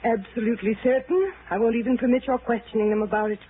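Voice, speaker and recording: An actress playing a haughty, commanding rich widow in 1960s radio drama, with clipped, stubborn delivery. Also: female voice, on the high side, fairly even intonation, quick pace, narrow, tinny audio, lightly acted. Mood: imperious. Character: imperious rich widow, haughty and stubborn old-money client. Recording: thin band-limited old radio recording, faint noise.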